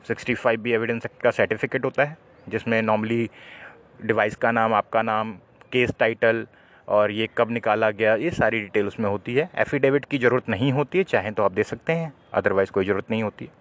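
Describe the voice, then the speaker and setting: A man explains legal matters to viewers in a voice of medium pitch. His delivery is didactic and instructional. He sets out questions and then answers them himself.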